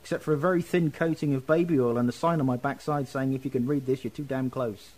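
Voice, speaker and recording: A man's voice: mid-range voice, fairly even intonation, quick pace. A British man working as an internet radio DJ, joking bawdily and at his own expense in casual group banter. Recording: very noisy internet radio chat.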